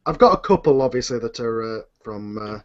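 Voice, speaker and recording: A man's voice: medium pitch, animated, brisk. A man hosting a live online Q and A in a casual, unscripted and slightly flustered, rambling way, over a video call. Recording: muffled lo-fi internet video call.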